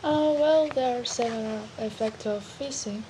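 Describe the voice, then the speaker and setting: A woman's voice: a little high, moderate pace, warm tone, a little hiss or hum, low-quality recording. A young woman from Greece, a student guest, answering hesitantly with many fillers. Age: teens or young adult.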